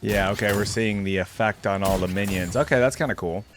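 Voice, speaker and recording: A man's voice: lowish voice, brisk. A man giving casual, enthusiastic reaction commentary on game skins, thinking aloud as he goes, with game music behind him. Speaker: reaction video host. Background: game music and effects.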